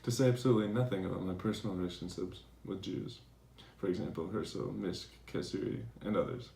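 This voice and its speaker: A man playing a defensive, aggrieved professor in a dramatized letter reading, speaking in a formal, measured way. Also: deep voice, creaky, mellow. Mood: insistent. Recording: roomy, echoing recording.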